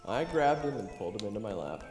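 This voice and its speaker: Man acting a wildly animated young adventurer, echoey. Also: lowish voice, fast talker. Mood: storytelling. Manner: theatrical, joking, conversational storytelling. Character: goofy, boastful, playful young human adventurer recounting his tale. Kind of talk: first-person audio drama narration and dialogue. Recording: echoey, reverberant, music underneath. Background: music.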